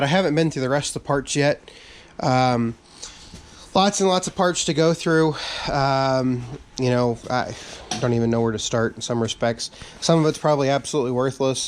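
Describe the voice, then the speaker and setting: A man hosting a casual update video, talking in a relaxed, rambling way with many fillers and restarts, his voice a bit raspy from a cold, in a workshop full of parts. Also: medium pitch, a little hiss or hum. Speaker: channel host.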